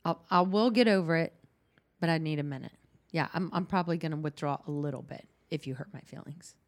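A woman hosting a podcast in casual conversation, her voice sitting on the low side. She talks candidly and haltingly, restarting sentences and reaching for fillers as she works out her thoughts. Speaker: podcast host and counselor.

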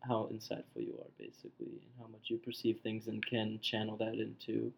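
A woman's voice: very deep voice, monotone, fairly quick, creaky, a little mellow, rough recording. A woman co-hosting a casual podcast, teasing dryly and asking probing questions. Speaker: podcast co-host.

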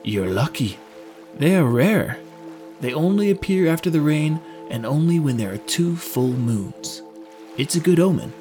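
A man's voice: fairly high pitch, expressive, unhurried, dark tone, faint music behind. A man voicing a watchful, superstitious sailor in an audiobook, plain-spoken and easygoing but wary. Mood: wonder. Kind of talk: audiobook character voice.

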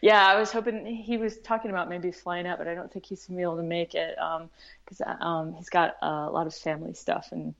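A woman talks casually as a podcast guest, eager and giggly. Her voice sits a little low. The sound is slightly dull and lo-fi. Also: fairly even intonation, brisk, creaky. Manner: enthusiastic, giggly. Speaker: podcast panellist, film screening organiser. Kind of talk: casual chat.

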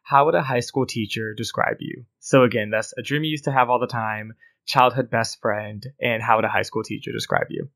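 A man's voice: medium pitch, steady tone, fairly quick, vocal fry, few pauses, fairly bright tone. A man hosting an interview, friendly and encouraging, backing the guest with frequent "mm-hmm" and "awesome". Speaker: podcast host.

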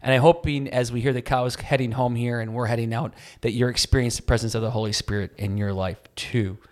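A man's voice: mid-range voice, steady tone, quick pace. A man with a Wisconsin accent co-hosting a faith podcast, talking in an earnest, encouraging way and often restarting his phrases. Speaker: podcast co-host. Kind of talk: casual faith podcast chat.

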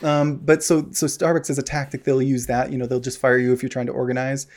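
A man's voice: medium pitch, little pitch movement, quick pace, crowd noise. An American man co-hosting a casual podcast, rambling with lots of fillers and restarts. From United States. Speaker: podcast co-host.

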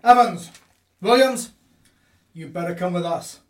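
A man's voice: sits high, a little slow, far from the mic. A man playing an urgent soldier searching for lost comrades in a radio play.

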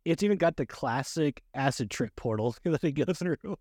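A man's voice: fairly high pitch, animated, moderate pace, a little mellow, no echo. Jocular man rambling casually, dry recording. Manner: jocular, chuckles often, rambling. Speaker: podcast co-host. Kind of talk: casual movie discussion chat.

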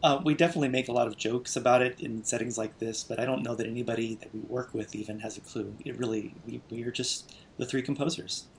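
A man, a composer guest, answering in a brisk, matter-of-fact way. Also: medium pitch, fairly even intonation, slight background noise. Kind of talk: casual interview talk.

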